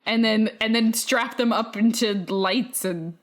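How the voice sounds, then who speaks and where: A woman's voice: a little high, unhurried. A woman co-hosting a casual chat, bantering in a teasing, blunt and sarcastic way. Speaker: podcast host.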